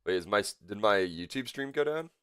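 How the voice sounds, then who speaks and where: A young man hosting a casual live game stream, sounding sick and coughy, with a voice deeper than usual and a laid-back, rambling way of talking. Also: lively intonation, brisk, a bit bright, clear, resonant tone, no room sound, clean studio sound. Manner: laid-back, rambling, lots of fillers and self-corrections. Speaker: live-stream gamer host. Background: faint game music.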